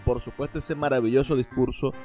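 A man's voice: medium pitch, fairly even intonation, fairly quick, dark tone. A man with a Venezuelan accent presents a literary radio programme enthusiastically as its host. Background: faint music.

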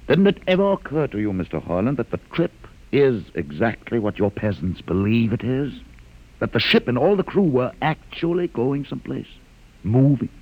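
An actor playing a calm, educated male mutant leader in a 1950s radio drama, measured and quietly ironic. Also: medium pitch, lo-fi sound. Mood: calm, wry. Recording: old radio drama with long reverb.

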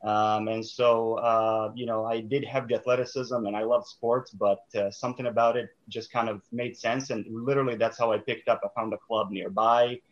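A man's voice: lowish voice, little pitch movement, normal speed, hardly any pauses. Hesitant, thoughtful young man, lo-fi sound. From Serbia. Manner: thoughtful, hesitant, many fillers and restarts. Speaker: guest. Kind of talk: reflective interview answers.

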